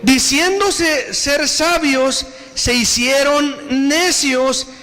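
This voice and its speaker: A man with a Mexican Spanish accent preaching a sermon. He addresses the congregation again and again and reads scripture slowly and with emphasis. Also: extremely high pitch, bright, forceful tone. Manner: preaching with repeated appeals to the congregation, slow emphatic scripture reading. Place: church hall. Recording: reverberant hall.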